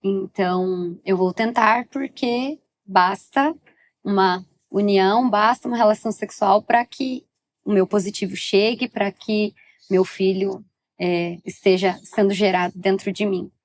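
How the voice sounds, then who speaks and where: A woman psychologist answers interview questions on a podcast. She explains in a calm, measured way at an ordinary mid pitch, often affirming with short agreements. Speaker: perinatal psychologist guest.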